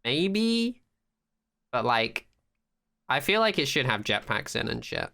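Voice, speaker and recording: A man co-hosting a podcast in casual discussion, talking in an animated, opinionated way and swearing freely. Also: medium pitch, slow, gravelly. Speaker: podcast co-host. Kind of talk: casual pop-culture discussion.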